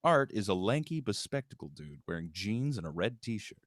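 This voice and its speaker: Casting note: male reader for a screenplay table read, lowish pitch, informal delivery, very dry recording. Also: big pitch swings, moderate pace, dry recording. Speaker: host, writer and stage-direction reader of a live table read. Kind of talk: casual introductions and scripted screenplay narration.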